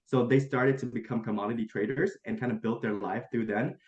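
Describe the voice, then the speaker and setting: A young man from Vietnam talks quickly and keeps things friendly and upbeat, often calling his host "man." His voice comes through a dull, muffled video call line that carries a fair bit of room echo. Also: medium pitch, steady tone. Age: young adult. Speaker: guest, college student. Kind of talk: casual interview chat.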